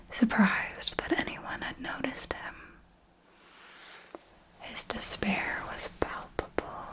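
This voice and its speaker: A woman voicing a seductive, predatory female vampire mentor in a breathy whispered ASMR roleplay, with lingering, deliberate phrasing. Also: mid-range voice, darkish tone, lightly acted, low-quality recording.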